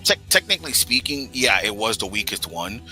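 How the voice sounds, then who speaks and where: Bright low-voiced man, stammering. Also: very animated, soft background music, low-quality recording. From United States. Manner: stammering restarts, measured explaining. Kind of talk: casual chat.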